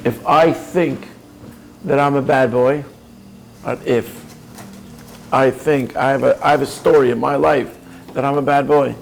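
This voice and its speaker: A man's voice: medium pitch, fairly even intonation, unhurried, gravelly, pauses often, a little hiss or hum, rough recording. A man giving a reflective coaching talk, earnest and probing, speaking directly to the listener. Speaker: speaker on personal growth.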